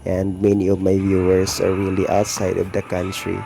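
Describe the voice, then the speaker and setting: A man with a Filipino accent narrating a home gardening vlog in a slow, earnest way. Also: sits low, monotone, gravelly, dark tone, slight background noise. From Philippines. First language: Tagalog or Filipino. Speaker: gardening vlogger.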